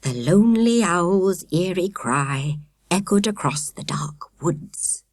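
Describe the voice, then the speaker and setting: A woman voicing a genial male scout leader telling a spooky tale slowly in a hushed, trembling, melodramatic voice. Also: high voice, expressive, no echo, studio quality, exaggerated voice. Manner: slow, drawn-out, melodramatic.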